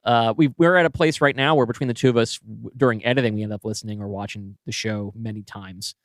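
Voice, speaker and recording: A man's voice: on the low side, steady tone, fast, no echo. A man co-hosting a podcast, discussing story plans in a casual, conversational way. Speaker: podcast co-host.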